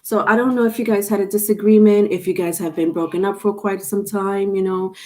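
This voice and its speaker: A woman's voice: mid-range voice, fairly even intonation, a little fast, warm tone. A woman with a stuffed-up nose giving a live tarot reading on camera, rambling with many fillers and restarts. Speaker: tarot reader. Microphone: webcam or phone on camera.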